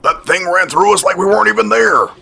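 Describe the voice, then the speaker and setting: An actor playing a gruff, rattled city police officer. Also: male voice, high voice, fast talker. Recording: clear noise behind.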